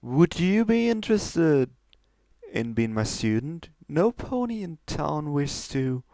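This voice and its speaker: A courteous older stallion mentor from a pony storybook, voiced by a man reading aloud. He speaks formally and slowly, like a retired magician offering lessons, in a dry close sound. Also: fairly high pitch, big pitch swings, mellow, dry recording, pitched above the performer's own voice, full character voice. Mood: courteous, hopeful.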